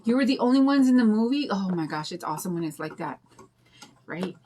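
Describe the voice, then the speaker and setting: An older woman with a raspy voice and a drawl, chatting in a rambling way and greeting viewers while she demonstrates a craft on a livestream. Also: medium pitch, animated, fairly quick, dark tone, a little hiss or hum. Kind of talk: casual chat during a craft demo.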